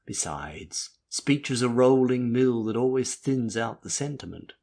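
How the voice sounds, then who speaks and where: A male narrator reads classic fiction in a measured, scripted storytelling manner. His voice is mid-pitched and husky, with a slightly rough edge. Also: expressive, a little mellow. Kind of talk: scripted literary audiobook narration.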